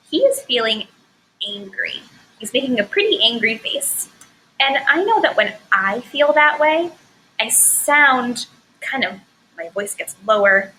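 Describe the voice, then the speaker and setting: A woman teaching a recorded lesson to first graders in clear, encouraging, expressive speech. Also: on the high side, a little hiss or hum, rough recording.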